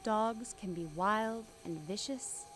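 An actress playing a gentle, steadfast, kind-hearted woman in an audio drama, speaking in a simple, sincere, deliberate way. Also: mid-range voice, a little hiss or hum. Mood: earnest.

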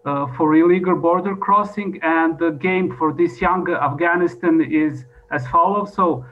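A man with a fairly high voice gives a formal presentation in English as a second language. His phrasing is halting and repetitive. The recording is dull and lo-fi. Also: fairly bright tone, slight background noise. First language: not English. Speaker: presenter at an expert conference. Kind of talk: formal presentation, partly improvised. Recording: dull lo-fi audio.

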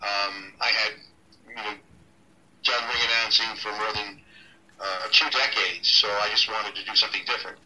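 A middle-aged man, a ring announcer, talking casually in an interview, relaxed and halting with many ums and restarts. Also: on the low side, recorded from a distance, rough recording. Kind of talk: casual interview over a call.

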